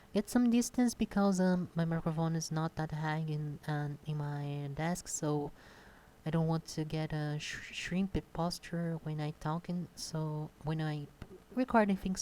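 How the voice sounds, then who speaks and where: Shy Brazilian woman, halting speech. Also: fairly low pitch, mellow. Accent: Brazilian Portuguese. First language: Portuguese. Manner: hesitant, many pauses and fillers. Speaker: content creator. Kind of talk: unscripted casual monologue. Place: home desk at a computer. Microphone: close desk microphone.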